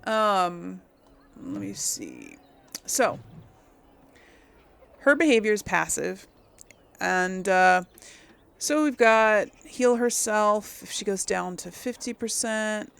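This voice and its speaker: A woman's voice: medium pitch, very animated, slow, creaky, long pauses, fairly bright tone, clean tone. A woman giving casual gameplay commentary as the host of her series, informal and conversational, thinking aloud as she goes. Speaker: video game streamer, host of a role-play series.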